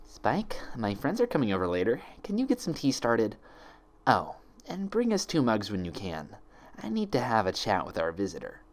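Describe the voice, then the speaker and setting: A man voicing a composed, coolly menacing female pony in a storybook, poised and deliberate. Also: on the low side, huge pitch swings. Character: composed, ominous mare doppelganger, coolly menacing.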